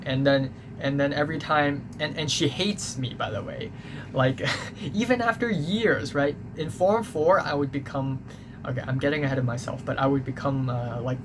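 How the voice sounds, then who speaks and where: A man's voice: mid-range voice, fairly even intonation, normal speed. A young man from Hong Kong with a Hong Kong English accent, a native Cantonese speaker, telling a casual, rambling story that he keeps correcting and that he spices with swearing. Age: young adult. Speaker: vlogger. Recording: noticeable background noise.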